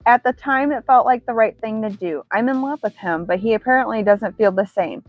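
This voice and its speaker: Tearful heartbroken young woman, higher teary voice, dry lo-fi sound, faint music, as a woman reads her. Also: fairly quick, no echo, close to an everyday voice. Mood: hurt.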